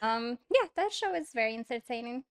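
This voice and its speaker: Young female guest, Arabic-accented English, fairly high pitch, shy and halting delivery with giggles; lav mic on a couch set. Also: lively intonation. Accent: Moroccan Arabic. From Morocco. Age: young adult. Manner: soft, hesitant, many fillers, giggly. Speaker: podcast guest. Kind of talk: casual interview chat. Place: small indoor studio, on a couch. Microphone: lavalier mic.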